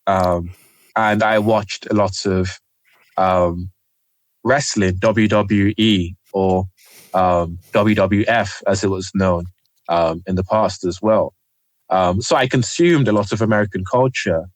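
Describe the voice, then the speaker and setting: Soft-spoken, hesitant young man from Nigeria. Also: sits low, slow pace, no room sound. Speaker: interview guest, journalist. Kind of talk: reflective conversational interview.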